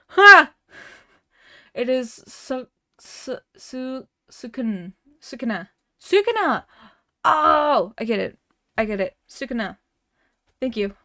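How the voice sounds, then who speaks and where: Woman streamer, stutters a lot, slow unhurried pace, dry close sound. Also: mid-range voice, pushed and bright, dry recording. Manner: stutters often, with many restarts and fillers; laughs often, playful and chatty with viewers. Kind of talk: casual livestream chat, reading chat messages and a story aloud.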